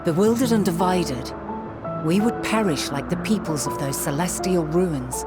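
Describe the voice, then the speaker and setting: An actress playing a wise, resolute leader in a game, speaking in measured, solemn, formal archaic phrases. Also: female voice, on the low side, over loud music, natural voice. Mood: grave, resolute. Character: wise, resolute ancient woman leader, grave yet hopeful. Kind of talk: dramatic scripted game acting.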